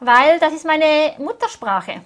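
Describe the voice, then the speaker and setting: A woman's voice: high voice, animated, slow pace, fairly bright tone. A woman interpreting a sermon phrase by phrase, speaking earnestly and closely following the preacher.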